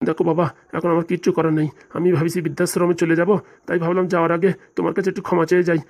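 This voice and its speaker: A woman's voice: on the low side, flat delivery, rapid-fire, a little mellow. A woman playing an elderly, sorrowful uncle in a comic cartoon, with a pleading, apologetic manner. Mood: aggrieved, resigned. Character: elderly, sorrowful, apologetic uncle begging his nephew's forgiveness.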